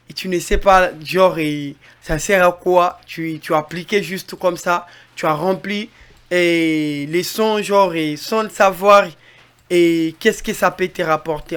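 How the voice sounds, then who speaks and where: A man with a Congolese French accent giving an informal music mixing tutorial in a halting, repetitive way, addressing viewers directly. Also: high voice, a bit bright, smooth. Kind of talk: informal tutorial with on-the-spot demonstration.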